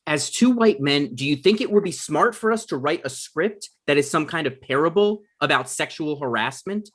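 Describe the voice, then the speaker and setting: A man playing a deadpan, argumentative adult son and aspiring writer in a comedy script read. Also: a little high, moderate pace. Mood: exasperated.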